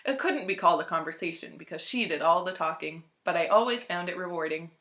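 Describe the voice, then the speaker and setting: A woman reading a story aloud as narrator, in a measured, expressive, clearly phrased way. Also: fairly low pitch. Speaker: library storytime reader.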